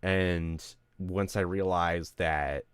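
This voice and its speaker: A man's voice: deep voice, steady tone, drawn-out words, clean tone, dry recording. A streamer man ranting candidly to his live audience, rambling, emotional and full of swearing. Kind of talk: candid venting on a livestream.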